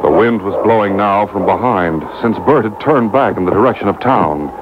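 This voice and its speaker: A man's voice: expressive, moderate pace, natural voice. A man narrating an old-time radio adventure serial as a dramatic, scripted storyteller. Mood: suspenseful. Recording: noisy muffled boombox taping of AM radio.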